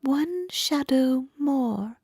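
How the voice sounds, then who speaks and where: A woman voicing a strange childlike, ageless spirit in a whispery, breathy voice, gently commanding, for a storybook. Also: high-pitched, expressive, very slow, no room sound, clean studio sound, faint music behind, voice pushed up, exaggerated voice. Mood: hushed, commanding. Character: Ghost of Christmas Past, strange childlike old-womanish spirit.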